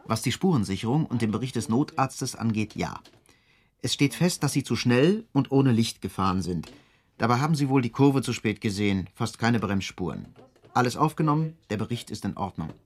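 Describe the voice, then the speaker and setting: A junior police officer in a radio drama. His husky, medium-pitched voice reports to the boss in a casual, matter-of-fact way. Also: animated, normal speed. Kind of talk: German radio drama acting.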